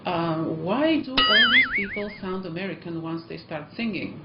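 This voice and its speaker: A woman's voice: lowish voice, big pitch swings, moderate pace, over loud music. Chatty Eastern European woman teacher. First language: an Eastern European language. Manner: chatty, encouraging, many ums and uhs. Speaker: language school teacher. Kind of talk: casual vlog talk to learners. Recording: echoey, muffled room sound.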